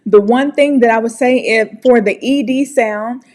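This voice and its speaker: A woman's voice: fairly high pitch, a little mellow. An American woman teaching English pronunciation in a casual online lesson, friendly and encouraging. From United States. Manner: friendly, encouraging, slow and deliberate.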